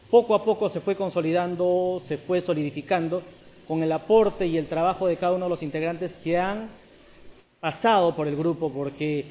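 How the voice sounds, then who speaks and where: A middle-aged man speaking earnestly in an outdoor interview, measured and a little halting. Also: fairly high pitch, darkish tone. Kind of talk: interview testimony. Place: outdoor terrace by a park.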